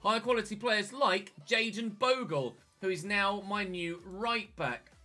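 A man's voice: high-pitched, unhurried, bright, forceful tone, soft background music. A young man, casually talking through a football management game while browsing menus, recorded on a headset in a small home room. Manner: chatty, thinks aloud while clicking through menus. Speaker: gaming YouTuber. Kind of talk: casual let's-play commentary.

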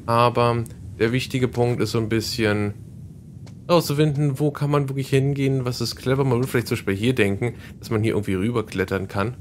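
A man's voice: medium pitch, steady tone, fairly quick, slight background noise. A man hosting a Let's Play, chatting casually and explaining the game as he plays. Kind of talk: casual gameplay commentary.

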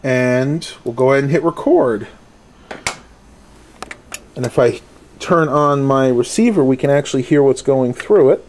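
A man's voice: medium pitch, unhurried, pauses often, a little mellow, a little hiss or hum. Casual man doing a hands-on gadget review. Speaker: product reviewer. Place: small furnished room at home. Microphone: handheld camera's built-in mic, held by the speaker.

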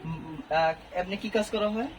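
A man's voice: high voice, normal speed. A man with a Bangladeshi accent doing a casual interview, asking chatty, prodding questions. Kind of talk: casual street-report style interview. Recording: noisy location with clear background noise.

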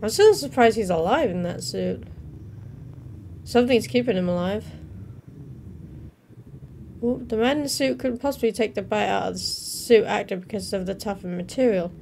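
Young woman, mid-pitched voice, casual reaction host, informal interjections, reads captions aloud, faint background noise. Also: normal speed, gravelly, pauses often, a little mellow. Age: young adult. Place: small room at home, indoors.

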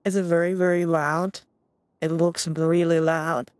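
A woman with a fairly low voice altered by a voice changer speaks in a slow, deliberate way. She is role-playing casually on a game stream, and the sound is dry. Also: little pitch movement, a little mellow, no echo.

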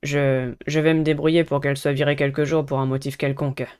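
Give this visual novel schoolboy a lowered, mellow voice performed by a woman. He is a serious, protective student delegate who speaks in a measured and earnest manner. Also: level tone, clean tone, natural voice. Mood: resigned. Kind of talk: visual novel character reading.

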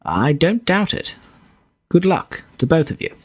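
A man acting a commanding, formal commodore who briefs his agents deliberately in a radio drama. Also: fairly high pitch, sing-song, husky, exaggerated voice. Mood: formal, authoritative.

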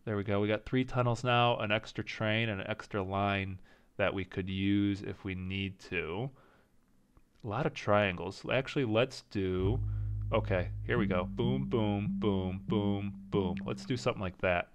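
Low, smooth-voiced man musing over a game. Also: steady tone, unhurried. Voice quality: coughing, slightly raspy. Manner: thinking aloud, indecisive, self-talking. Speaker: gaming channel host. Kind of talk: casual let's-play commentary.

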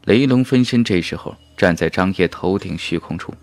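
A man's voice: sits low, moderate pace, a little mellow. A man narrating a fantasy novel in a dramatic, emphatic storytelling manner. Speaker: audiobook narrator. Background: background music.

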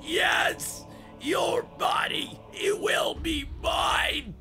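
A man voicing a cruel, gloating demon that has possessed a hero, shouting drawn-out threats in a harsh, strained voice. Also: very high voice, creaky, faint music behind, heavily acted. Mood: menacing rage. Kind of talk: anime-style voice acting practice monologue.

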